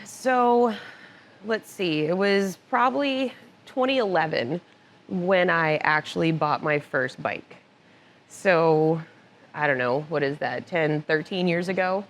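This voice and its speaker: A woman's voice: lowish voice, takes it slowly, slight background noise. A woman guest on a casual talk show, telling personal stories with frequent laughter. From born in Italy, raised moving around. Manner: laughs often, animated anecdotes. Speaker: podcast guest, motorcycle finance director. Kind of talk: casual storytelling interview. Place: cigar club lounge.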